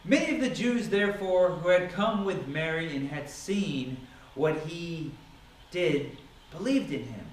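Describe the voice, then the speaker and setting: A man's voice: on the high side, animated, a little slow. A man giving a dramatic, emphatic scripture reading with theatrical delivery. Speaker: Bible reader. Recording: echoey room, distant mic, noisy.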